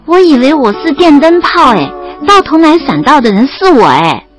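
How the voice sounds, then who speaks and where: A young woman acting as a lively student in love in an audio drama, telling her story and playing the dialogue. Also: high-pitched, moderate pace. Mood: animated, teasing. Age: young adult. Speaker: narrator and lead actress. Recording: slightly dull audio over background music. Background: music.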